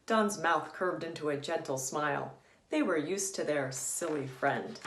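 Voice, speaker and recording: A woman author reading a children's story aloud in a deliberate, teacherly, emphatic way. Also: low-pitched, a bit bright, a little hiss or hum. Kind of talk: expressive children's storybook narration. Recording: echoey room.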